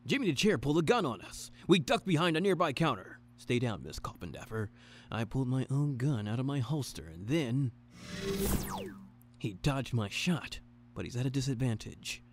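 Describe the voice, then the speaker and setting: A man playing an earnest, bumbling detective who deliberately narrates his own moves in a noir audio drama. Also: mid-range voice, big pitch swings, loud music behind the voice, natural voice. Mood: dramatic narration.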